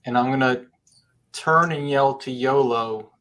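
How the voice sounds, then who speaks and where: A man's voice: medium pitch, unhurried, fairly bright tone. A man talking casually as a player in a tabletop game, describing his character's moves.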